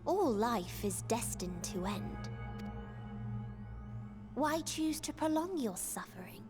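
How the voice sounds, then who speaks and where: A woman playing a sorrowful, nihilistic young otherworldly being in a video game, speaking in solemn, measured, fatalistic lines. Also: a little high, sing-song. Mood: bleak, resigned. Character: sorrowful, nihilistic young female hive-mind being from the stars. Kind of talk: video game cutscene acting. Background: music.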